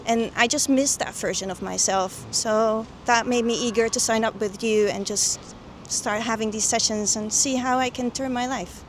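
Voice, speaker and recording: Higher-pitched young woman, reflective. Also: steady tone, moderate pace, hardly any pauses, a little hiss or hum, rough recording. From the Netherlands. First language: Dutch. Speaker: guest, coaching client and doctor. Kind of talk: personal testimonial interview.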